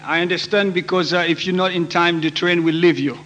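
Bright-voiced Haitian man preaching in a reverberant hall. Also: high voice, fairly even intonation, dull audio. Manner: halting, pausing often. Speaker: guest preacher. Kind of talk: sermon through an interpreter, in short phrases. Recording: noisy echoey hall with hum.

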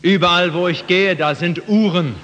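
A man's voice: sits high, normal speed, a bit bright, a little hiss or hum. A man interpreting a sermon phrase by phrase for the listeners. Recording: muffled, reverberant hall.

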